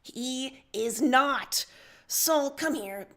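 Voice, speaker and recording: A woman's voice: high-pitched, expressive, drawn-out words, a bit bright, full character voice. A woman voicing a protective, indignant young crewmate who talks emphatically and urgently in a story reading.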